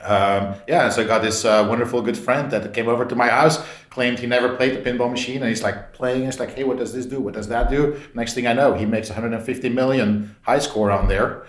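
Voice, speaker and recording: A man's voice: mid-range voice, fairly quick. A man from Belgium, a podcast guest telling anecdotes casually and informally, re-enacting conversations as he goes. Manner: informal, re-enacts dialogue with frequent "like". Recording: roomy, echoey sound.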